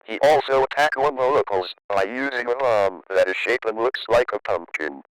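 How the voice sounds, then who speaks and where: A man reading a scripted emergency broadcast as an alert announcer, in a formal, measured way. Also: medium pitch, clean tone, low-quality recording.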